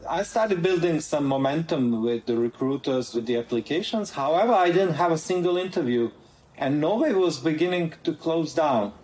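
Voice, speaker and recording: A man's voice: on the high side, animated, normal speed, darkish tone, reverberant, slight background noise, poor audio. A man from Croatia with a Croatian accent, a guest in a conversational interview, earnestly laying out his points in order.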